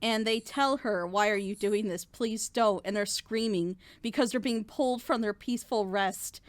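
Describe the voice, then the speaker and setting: A woman's voice: medium pitch, moderate pace, dry recording. A woman talking casually and critically in a panel discussion, with an emphatic, exasperated manner. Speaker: reaction show panelist.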